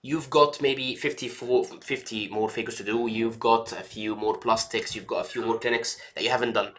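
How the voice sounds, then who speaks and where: A man of ordinary pitch gives relaxed, reassuring career advice. He keeps checking understanding with quick "yeah?" and "okay?" as he talks. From Egypt. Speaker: mentor advising trainee doctors. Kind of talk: casual advice chat.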